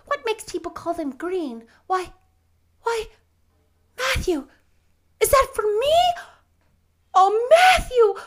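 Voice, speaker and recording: An excitable young girl from a storybook, played by a woman, very high in pitch and lively. She gushes in effusive exclamations, romantic and full of gratitude. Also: measured pace, exaggerated voice. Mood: joyful, then overwhelmed. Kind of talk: storybook narration character voice.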